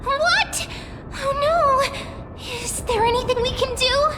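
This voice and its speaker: A woman's voice: extremely high pitch, slow, noisy background. A woman voicing a small, cute, childlike female companion creature in a video game, earnest and caring in a cutesy way. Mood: alarmed.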